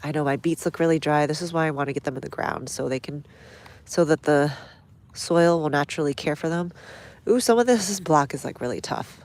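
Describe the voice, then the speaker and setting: A woman's voice: deep voice, fairly quick, darkish tone. A woman with a stuffy nose hosting a casual gardening livestream outdoors, chatting to viewers as she works. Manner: chatty, reads viewer comments aloud while working.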